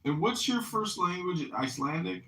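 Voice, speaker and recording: A man's voice: high-pitched, sing-song, normal speed, a bit bright. A man hosting a call-in podcast, talking in a sarcastic, lecturing way and drawing out his questions. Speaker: podcast host. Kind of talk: mocking call-in chat. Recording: roomy, distant mic.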